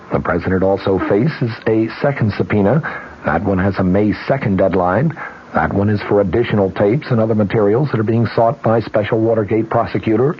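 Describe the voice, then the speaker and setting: An American man reading scripted radio news in a measured, formal manner. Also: lowish voice, breathy edge. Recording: dull 1970s radio broadcast recording.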